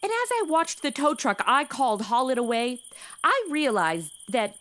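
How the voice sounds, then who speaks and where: A woman's voice: sits high, big pitch swings, normal speed, fairly bright tone. A woman playing a brash, oversharing modern young woman in a western comedy audio drama, with an American accent and a sassy, slangy, blurting delivery. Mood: sarcastic, oversharing. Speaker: lead actor in a comedy audio drama podcast. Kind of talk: comedic radio-play acting.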